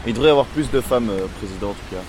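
Low-voiced man in a street interview. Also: racing, darkish tone, poor audio. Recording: faint outdoor traffic noise.

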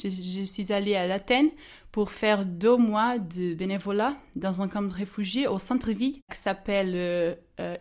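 A woman in her twenties from Portugal, speaking French with a Portuguese accent as a non-native, telling a personal story hesitantly with many fillers and restarts. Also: medium pitch. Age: 20s. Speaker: guest storyteller. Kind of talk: casual personal testimony.

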